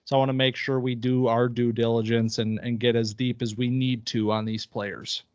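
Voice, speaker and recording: A man's voice: medium pitch, level tone, moderate pace, no room sound, muffled recording. A man co-hosting a casual sports podcast, chatty and upbeat, often restarting his phrases. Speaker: podcast co-host.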